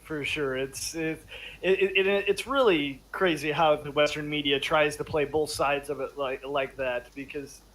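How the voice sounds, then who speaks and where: A man in a casual online discussion, talking in halting bursts with many restarts and fillers. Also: a little high, fairly even intonation, fairly bright tone, low-quality recording. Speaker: podcast panelist.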